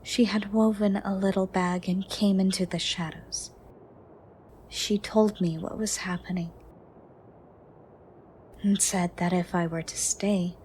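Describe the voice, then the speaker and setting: A woman playing a gentle, ancient female monster under the bed in a soft, breathy, partly whispered ASMR roleplay, formal and caring. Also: mid-range voice, a little hiss or hum, natural voice. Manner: slow, formal, caring, slightly stern.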